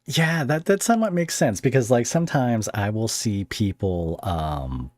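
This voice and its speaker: A man hosting a casual interview, talking in a rambling, halting and jokey way. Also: medium pitch, animated, a little mellow, no room sound. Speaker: podcast host interviewing a guest.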